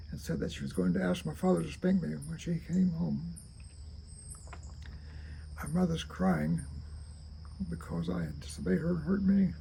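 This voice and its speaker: An elderly man with a heavily creaky, very dark, soft-edged voice. He reads his own memoir haltingly and deliberately, over a faint insect-like hiss. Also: sits high, poor audio. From rural Kentucky, United States. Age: 80s. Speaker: storyteller reading own memoir. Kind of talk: reading a childhood memoir aloud.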